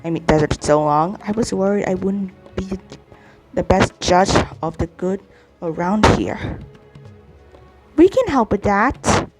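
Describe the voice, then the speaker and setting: Female game streamer, mid-pitched, reading lines aloud haltingly with frequent stumbles, music underneath. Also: expressive. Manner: halting read-aloud with stumbles and repeated words. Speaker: gaming streamer. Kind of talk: reading game dialogue aloud during a playthrough. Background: video game music.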